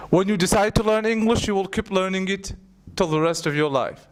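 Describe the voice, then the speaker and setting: Young male teacher, high voice, animated, repeats himself mid-thought, classroom Q&A. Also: a little fast, slight background noise. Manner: animated, repeats words while thinking. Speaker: English teacher. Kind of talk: classroom question-and-answer.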